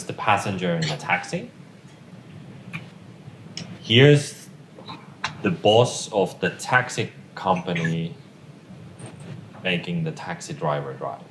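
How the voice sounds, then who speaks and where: A man whose first language is Finnish, giving a technical tutorial at a conference in an informal, joking way. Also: deep voice. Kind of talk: technical lecture. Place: conference room. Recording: echoey room with faint noise.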